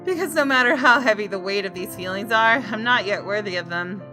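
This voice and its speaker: A woman, a gaming streamer reading visual novel narration aloud in an expressive, dramatic way. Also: mid-range voice, a little fast. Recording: music behind the voice. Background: game music.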